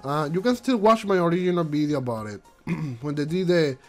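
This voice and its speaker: A man's voice: on the high side, animated, fairly quick, darkish tone, soft background music. A man hosting a casual commentary vlog, stuffy and congested from allergies, rambling and restarting his sentences, with English that is not his first language. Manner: rambling, repeats himself, frequent restarts. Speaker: channel host.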